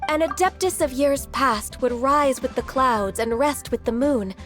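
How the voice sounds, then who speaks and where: A polite, gentle young woman. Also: sits high. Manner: polite, measured, storytelling. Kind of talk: video game voice acting. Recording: game audio with faint music behind.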